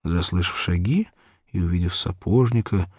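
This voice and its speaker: A man narrating a literary story for children as an audiobook, in an expressive, measured, dramatic way. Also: low voice, husky, no echo, clean studio sound.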